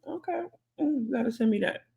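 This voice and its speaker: A woman co-hosting a podcast, telling stories in an animated way and acting out both sides of conversations. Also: fairly high pitch, fairly quick, creaky. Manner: animated, acts out conversations in quotes. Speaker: podcast co-host. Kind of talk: casual storytelling chat.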